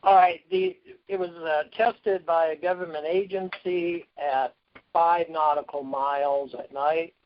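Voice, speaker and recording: A man with a slightly high voice talks over a muffled, lo-fi conference line. He pitches like a company executive, plain-spoken and salesman-like. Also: steady tone, measured pace. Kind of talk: conference call product pitch. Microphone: phone. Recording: dull lo-fi conference phone line.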